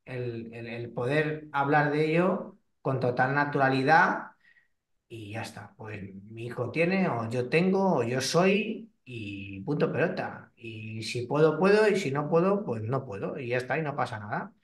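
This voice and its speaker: A man's voice: medium pitch, expressive, unhurried, roomy sound, far from the mic. A man hosting a casual interview, talking with many restarts and fillers. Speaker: host and fitness trainer.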